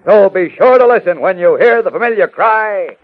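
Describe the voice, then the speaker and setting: Male serial narrator, declamatory, fairly high voice, dull vintage radio recording. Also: lively intonation, normal speed, no echo. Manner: dramatic, declamatory. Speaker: announcer and narrator. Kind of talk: 1940s radio scripted narration. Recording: muffled old radio recording with music.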